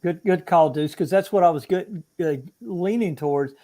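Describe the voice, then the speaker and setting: Higher-voiced older jokey male co-host. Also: normal speed, mellow. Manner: jokey, rambling, self-deprecating. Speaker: podcast co-host, military veteran.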